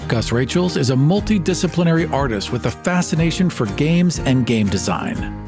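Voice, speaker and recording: Animated male podcast host over music. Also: on the high side, moderate pace. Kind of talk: podcast hosting, announcing and chat.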